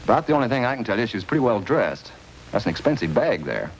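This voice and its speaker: A man's voice: lowish voice, very animated, fast talker, slight background noise, low-quality recording. An actor playing a methodical male police lab technician in a 1950s radio crime drama, talking plainly through the evidence. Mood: matter-of-fact.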